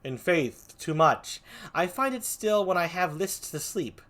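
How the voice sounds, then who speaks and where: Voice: mid-range voice, animated, unhurried, a bit bright, pitched above the performer's own voice. A performer reading a sardonic soldier husband in a teasing, biting Shakespearean stage manner. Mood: dry mockery. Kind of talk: amateur Shakespeare read-aloud.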